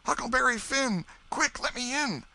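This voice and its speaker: A man voicing a scared ragged boy who pleads in a high, breathless voice. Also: lively intonation, moderate pace, creaky, bright, forceful tone, exaggerated voice. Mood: pleading.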